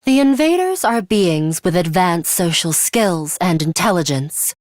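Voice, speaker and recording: A woman voicing a composed, devoted young female fighter in a video game, ruthless and teasing, with deliberate, poised delivery. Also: on the low side, expressive, a little mellow, no echo, studio quality. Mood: brisk, commanding. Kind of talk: English video game voice acting.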